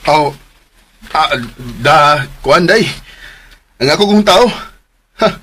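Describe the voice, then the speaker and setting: A man with a Cebuano accent voicing an emphatic young man in a radio drama, lovesick and possessive, with a husky voice. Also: on the high side, slow, sharp tone, exaggerated voice. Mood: bluffing. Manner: emphatic, swings between pleading and bluster.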